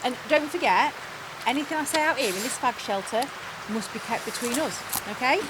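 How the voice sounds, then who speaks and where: A woman's voice: high-pitched, animated, brisk. An actress playing a bossy, self-important, gossiping woman manager in an audio comedy drama, officious and patronising. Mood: patronising, confiding. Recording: clear rain and outdoor ambience noise.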